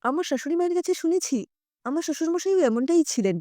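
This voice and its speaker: A woman voicing a meek, resigned older mother-in-law, gentle and self-effacing, in an audio story. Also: extremely high pitch, expressive, fast talker, no echo, clean studio sound. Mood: resigned, sorrowful. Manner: soft-hearted, self-effacing.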